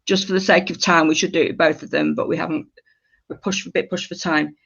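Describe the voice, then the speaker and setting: A woman's voice: deep voice, fairly even intonation, quick pace, vocal fry, fairly bright tone, husky. A woman leading a creative writing workshop, giving polite, slightly hesitant instructions to her group in a conversational way. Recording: dull lo-fi audio.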